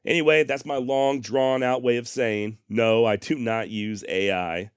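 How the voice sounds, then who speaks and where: A man hosting a podcast in a deep voice, talking casually and plainly to his listeners with emphatic, salty phrasing, close on a studio microphone. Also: steady tone, measured pace, smooth, dry recording. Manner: plainspoken, mildly profane, emphatic. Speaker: podcast host. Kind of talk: casual direct address to listeners. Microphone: close dynamic studio microphone, processed.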